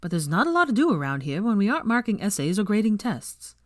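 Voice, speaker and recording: A woman's voice: mid-range voice, very animated, quick pace, dry recording, studio quality, pitched above the performer's own voice, natural voice. A woman voicing an amiable, sheepish young man in a story reading, earnest and easygoing.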